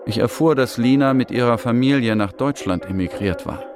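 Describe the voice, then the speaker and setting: A man's voice: mid-range voice, brisk, close to an everyday voice. A man playing an earnest Syrian puppeteer who narrates his refugee-camp story in a scripted radio drama. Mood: wistful, narrating. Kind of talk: scripted radio-drama narration, German voice-over of an Arabic speaker. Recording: music behind the voice. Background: music.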